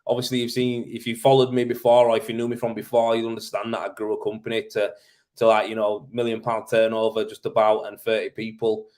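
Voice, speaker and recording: A man's voice: fairly low pitch, monotone, fast talker, a little mellow. A man from Leeds with a Yorkshire accent, talking informally and colloquially as he hosts a casual livestream and answers questions. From Leeds, Yorkshire, England. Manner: informal, matey, colloquial, thinking aloud. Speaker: live show host, tradesman in plastering.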